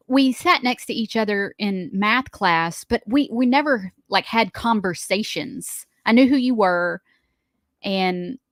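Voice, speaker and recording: A woman's voice: mid-range voice, no echo, clean studio sound. A woman in her thirties co-hosting a morning radio show, telling stories with lots of laughs. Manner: chatty, giggly storytelling. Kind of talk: casual radio banter. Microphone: studio microphone.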